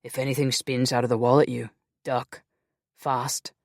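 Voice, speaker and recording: A narrator voicing a gruff giant Highlander warrior with a deep Scottish Highlands brogue. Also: male voice, little pitch movement, normal speed, dry recording, clean studio sound, natural voice. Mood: commanding.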